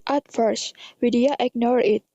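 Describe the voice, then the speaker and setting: High, mellow Indonesian woman narrator, dull recording. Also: steady tone, unhurried, dry recording. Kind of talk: scripted narration of amateur radio drama.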